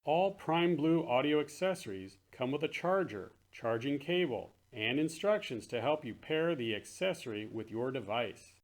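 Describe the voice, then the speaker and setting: Guy doing a product promo, normal mid pitch, straightforward presenter talk. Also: lively intonation, moderate pace, hardly any pauses. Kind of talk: scripted product narration, later casual demo chat.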